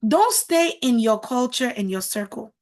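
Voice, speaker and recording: A woman's voice: on the high side, animated, normal speed, a little mellow. A middle-aged woman whose first language is French, giving a motivational talk as a marriage coach, emphatic and preachy, repeating words for stress.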